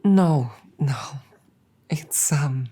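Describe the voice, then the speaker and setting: Woman doing a shy proper dragon gf for audio roleplay, really deep voice, slow n stammery, super apologetic and loving. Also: warm tone, husky, full character voice. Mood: evasive, embarrassed. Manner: halting, stammering, formal and apologetic. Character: embarrassed, proper, affectionate female dragon girlfriend. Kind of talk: roleplay audio drama addressed to the listener.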